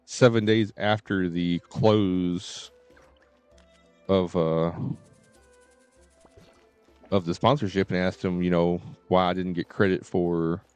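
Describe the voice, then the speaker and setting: A man's voice: low-pitched, darkish tone, clean tone. A man chatting casually on a livestream, informal and rambling, with repeated filler phrases and some cursing. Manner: informal, mildly cursing, repetitive "you know". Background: faint game music and dialogue.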